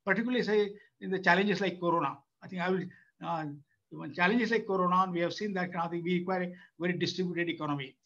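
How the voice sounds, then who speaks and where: An older man with an Indian English accent giving an unscripted webinar talk, halting with many restarts and fillers, recorded over a video call. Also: high voice, fairly bright tone. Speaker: webinar speaker, former institute chairman. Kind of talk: unscripted speech. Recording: dull lo-fi webinar audio.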